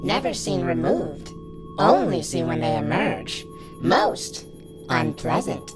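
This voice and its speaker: A woman voicing a calm, unsettling female creature in a horror audio drama, speaking in clipped broken sentences. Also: a bass voice, slow, music in the background, full character voice. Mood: matter-of-fact. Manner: clipped broken speech, dropping words.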